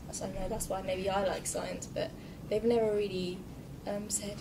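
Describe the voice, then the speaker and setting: A young woman student speaks softly and with hesitation, pausing on her fillers. She is picked up from far off, with steady noise underneath. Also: medium pitch. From Pakistan. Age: young adult. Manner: soft-spoken, hesitant, many fillers. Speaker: sixth form student. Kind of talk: candid group discussion. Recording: distant mic with noise.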